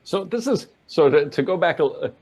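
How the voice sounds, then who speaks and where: A man from Oregon answering interview questions as a guest, in an earnest, measured way. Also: on the high side, lively intonation, a little mellow, breathy edge. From Portland, Oregon, USA.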